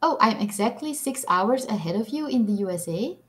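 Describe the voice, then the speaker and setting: A woman's voice: a little high, expressive, fairly quick. A young woman from Belgium whose first language is Dutch, speaking English with a Belgian Dutch accent as she chats casually on a live stream, reading comments, laughing often and poking fun at herself. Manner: chatty, giggly, self-deprecating.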